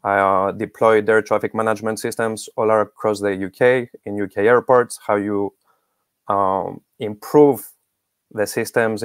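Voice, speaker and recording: A man's voice: fairly low pitch, clear, resonant tone, dry recording. A middle-aged man giving explanatory interview answers as a guest expert on a video call. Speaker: guest expert, academic researcher.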